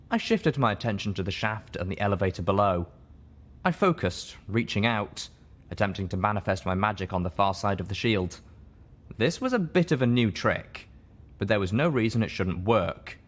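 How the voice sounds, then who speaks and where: A man narrating a story in a dramatic audiobook style. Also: low voice. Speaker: story reader.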